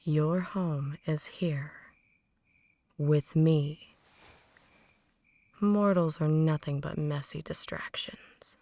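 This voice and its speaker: A woman performs an ancient female vampire in an intimate ASMR roleplay. Her voice is low, soft and breathy, slipping often into whispers. She takes her time over each phrase, condescending and quietly menacing, like a possessive mentor to a newborn. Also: no room sound, heavily acted. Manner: deliberate, condescending, softly menacing. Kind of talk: ASMR roleplay, slow intimate dramatic monologue.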